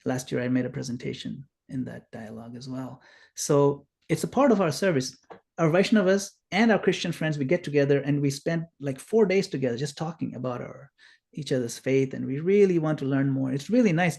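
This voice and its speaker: Gravelly middle-aged man, earnest. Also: fairly high pitch, a little fast, darkish tone. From Kenya. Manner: earnest, explanatory, with fillers. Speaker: guest speaker. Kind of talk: informal spiritual talk.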